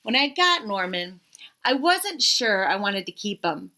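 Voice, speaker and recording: A woman narrating a children's story aloud in an expressive, emphatic way with dramatic pauses. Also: medium pitch.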